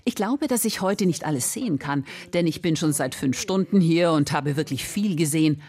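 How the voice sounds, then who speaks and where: A woman with a low-pitched voice narrates a radio feature as its reporter. She reads from a prepared script, including translated statements. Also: expressive, normal speed, darkish tone.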